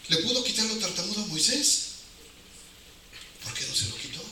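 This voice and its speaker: A man's voice: high-pitched, normal speed, pushed and bright. A man with a Mexican accent preaching an informal sermon in colloquial words, using rhetorical questions and repeating phrases for emphasis. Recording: very echoey hall, distant, noisy.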